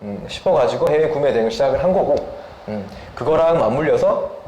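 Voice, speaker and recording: A man's voice: mid-range voice, animated, brisk, mellow. A young man answering questions as an interview guest in a casual, conversational way. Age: young adult. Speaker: interviewed guest, software developer and startup founder. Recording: echoey room with background noise.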